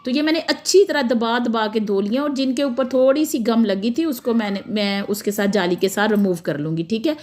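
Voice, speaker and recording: A middle-aged woman with a Pakistani Punjabi accent and a hoarse throat, chatting casually while demonstrating kitchen tasks and slipping into Punjabi. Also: on the high side, moderate pace, few pauses, a little mellow. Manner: chatty, slips into Punjabi, laughs often. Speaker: cooking vlogger.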